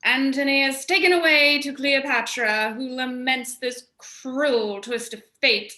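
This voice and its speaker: A woman's voice: sits high, steady tone, a little slow, pushed and bright, slight background noise. A woman playing the storytelling narrator of a radio drama, reading scripted narration. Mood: dramatic. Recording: roomy, echoing sound.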